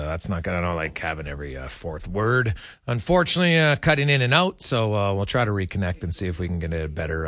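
A man's voice: medium pitch, fairly bright tone, dry recording. Dry-sounding man hosting sports radio. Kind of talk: conversational live radio interviewing.

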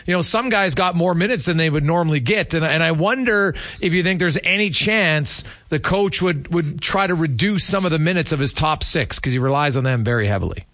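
A man's voice: on the high side, expressive, a little fast, few pauses, fairly bright tone, no room sound. A man hosting a sports radio show, talking hockey in a casual, conversational way. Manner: conversational, informal.

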